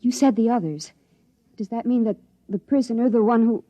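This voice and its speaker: A woman's voice: a little high, fairly quick, dark tone, close to an everyday voice. A woman playing an anxious, devoted young daughter, emotional and urgent, in a 1950s radio drama style. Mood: anxious hope. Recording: dull old radio recording.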